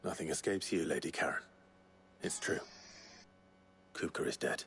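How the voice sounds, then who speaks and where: An actor playing a reserved young male warrior who speaks tersely and low-key. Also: expressive, moderate pace, gravelly, a bit bright, slight background noise, full character voice. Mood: somber.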